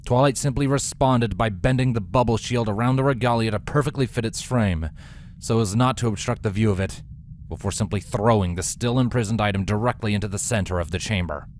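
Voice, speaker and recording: A man reading a fantasy story as narrator in a scripted, dramatic style with deliberate pauses. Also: lowish voice, darkish tone, slight background noise. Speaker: fan fiction narrator. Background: faint background music.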